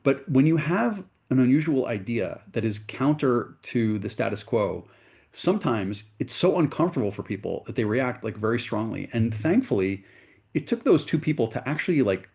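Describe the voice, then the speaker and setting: A man's voice: medium pitch, very animated, moderate pace, creaky, darkish tone. A middle-aged man from the United States, a venture capitalist guest in an interview, telling his story earnestly and correcting himself as he goes.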